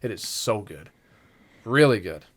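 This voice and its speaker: A man's voice: lowish voice, expressive, unhurried. A man chatting casually as a podcast co-host, rambling and enthusiastic, with plenty of swearing. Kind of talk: casual chat with friends.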